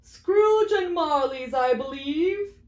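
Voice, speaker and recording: A woman voicing a portly, courteous old gentleman in a storybook, astonished and grateful, speaking slowly and haltingly. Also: high voice, animated, roomy sound, pitched above the performer's own voice. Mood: polite, hesitant.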